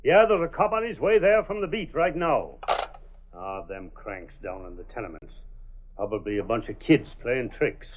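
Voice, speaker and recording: An actor playing an impatient, bossy American police sergeant, gruff, slangy and brusque, in old radio drama. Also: male voice, medium pitch, animated, moderate pace, narrow, tinny audio, lightly acted. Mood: irritated. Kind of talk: 1930s-40s radio drama acting. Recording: thin band-limited vintage radio recording.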